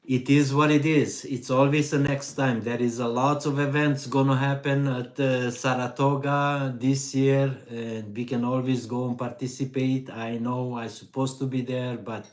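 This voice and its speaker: A middle-aged man from Hungary with a Hungarian accent, hosting a relaxed live Q&A for his vanlife channel and answering chat questions with many fillers. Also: medium pitch. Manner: slow, relaxed, pauses with fillers. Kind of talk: live stream Q&A reading chat.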